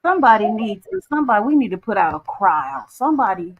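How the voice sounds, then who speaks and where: A woman's voice: on the high side, big pitch swings, fast, dry recording, phone-quality sound. Rambling woman with a lisp. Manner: rambling, repeats words when she starts a sentence. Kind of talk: casual chat.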